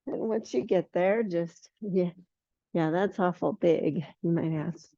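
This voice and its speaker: A hoarse middle-aged woman guiding a yoga class in a relaxed, unhurried way, with some fillers along the way. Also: on the low side, no room sound. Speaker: yoga teacher. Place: yoga studio. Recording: muffled lo-fi recording.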